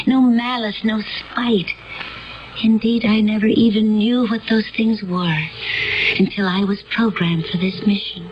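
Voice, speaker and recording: An actress playing a gentle, naive alien woman from a utopian world, earnest and solemn in a dramatic radio play style. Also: medium pitch, a little hiss or hum, natural voice. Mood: wistful, solemn. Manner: earnest, dreamy, solemn, deliberate. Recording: dull old radio recording with music behind.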